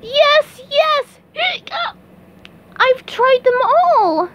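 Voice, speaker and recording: A woman's voice: very high voice, sing-song, drawn-out words, full character voice. A woman voicing an eager, anxious young male sea sponge in a hobby cartoon dub, with a whiny, childlike, exclaiming manner. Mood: apologetic, miserable. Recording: muffled, clearly noisy home recording.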